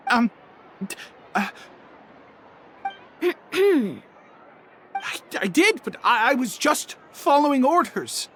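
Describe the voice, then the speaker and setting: A man's voice: very high-pitched, big pitch swings, slow pace, long pauses, a bit bright, a little hiss or hum, heavily acted. An actor playing a nervous, stammering male underling in a video game. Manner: stammering, hesitant.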